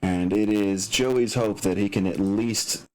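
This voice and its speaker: Game master, male, low voice, casual and halting, explaining rules and maps to players. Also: a little mellow, rough recording. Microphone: open microphone picking up room sounds.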